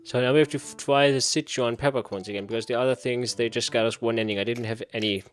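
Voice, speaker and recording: Male gaming host, medium pitch, casual read-aloud playthrough style, talks to himself repetitively, soft music underneath. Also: fairly even intonation, fast talker. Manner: muttering to himself, repeating phrases. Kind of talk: casual playthrough commentary, reading game text aloud.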